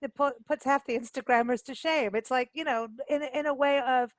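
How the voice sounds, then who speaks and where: A woman's voice: sits high, fairly even intonation, fairly quick, no room sound. A woman hosting a casual radio interview, chatting in an informal, enthusiastic and slangy way. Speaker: radio show host.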